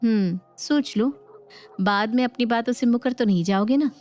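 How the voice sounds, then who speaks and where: Woman playing naive young wife, high voice, coy, emotive, cartoon moral story, faint music behind. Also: normal speed, a little mellow, lightly acted. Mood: coy, smitten. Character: naive, lovestruck young married woman, wistful and easily flustered. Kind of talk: dramatized Hindi moral story acting.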